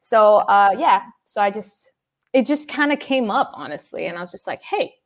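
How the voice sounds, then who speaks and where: Relaxed, reflective woman poet. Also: medium pitch, normal speed. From Dubai. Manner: relaxed and reflective, with frequent fillers and soft laughs. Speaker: poet and interview guest. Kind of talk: casual interview chat.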